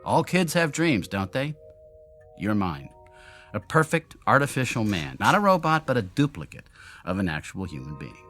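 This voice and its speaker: An actor playing a drunken, world-weary genius inventor who mocks himself bitterly, in radio drama style. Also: male voice, lowish voice, sing-song, moderate pace, a bit bright, faint music behind. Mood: confessional. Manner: wry, theatrical, world-weary.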